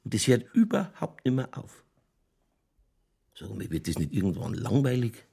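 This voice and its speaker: An older Bavarian police chief in a radio crime play. His voice is husky and full of creak, and it moves up and down in lively swings. He talks in folksy dialect, drily soothing and slyly skeptical. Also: mid-range voice, normal speed, full character voice. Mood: musing, skeptical. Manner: colloquial, dry, placating. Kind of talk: naturalistic radio crime drama acting.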